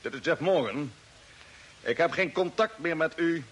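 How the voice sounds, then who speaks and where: Space captain, highish voice, very animated, commanding, old radio play with a bit of hiss. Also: male voice, normal speed, low-quality recording. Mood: businesslike, searching. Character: determined male space expedition commander on a Mars mission. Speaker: actor playing the captain. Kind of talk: old Dutch radio drama acting.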